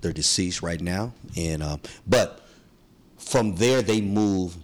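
A man's voice: low voice, slow pace, a little mellow, a little hiss or hum. A man, talking casually and earnestly as a podcast guest, with slang and repeated phrases for emphasis. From San Francisco, United States. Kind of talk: casual interview storytelling.

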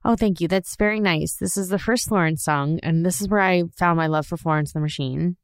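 Chatty woman with a low voice. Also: fairly quick, clear, resonant tone, dry recording, studio quality. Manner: chatty, laughs often, many fillers. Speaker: podcast co-host. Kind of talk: casual rewatch podcast chat.

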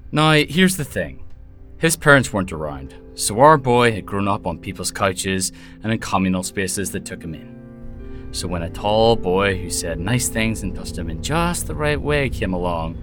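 Low-voiced, jaded male mercenary. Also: fairly bright tone. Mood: wistful, guarded. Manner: sardonic, storytelling about himself in third person. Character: jaded, wry male mercenary haunted by lost love. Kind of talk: audio drama acting, conversational monologue. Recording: music behind the voice.

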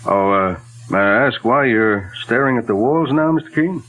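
An actor playing a plain-spoken, cooperative police captain in a 1940s radio detective drama. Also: male voice, medium pitch, animated, moderate pace, fairly bright tone, close to an everyday voice. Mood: businesslike. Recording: old 1940s radio recording.

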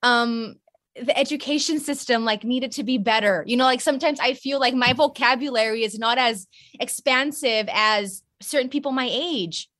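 A woman's voice: a little high, normal speed, a bit bright, no echo, clean studio sound. A young woman from the Texas border region, a guest chatting animatedly and slipping between Spanish and English. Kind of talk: casual interview chat.

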